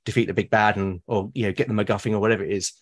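A man with a British accent, a podcast guest talking casually and at length, often pausing to rephrase his thoughts. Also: on the low side, steady tone. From Britain. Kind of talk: casual conversation about solo roleplaying.

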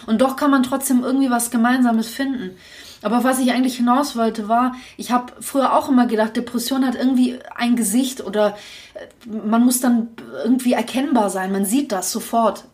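A young woman with a voice a little higher than most speaks in a casual podcast conversation. She talks candidly and at length, circling back with restarts as she thinks aloud. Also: fairly even intonation, brisk, darkish tone. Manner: candid, talks in long reflective runs with restarts. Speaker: podcast co-host.

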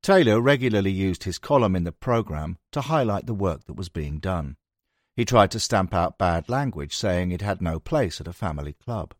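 Low-voiced man narrating, lively range. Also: moderate pace, dry recording, studio quality. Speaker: audiobook narrator. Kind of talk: scripted narration of a sports history book.

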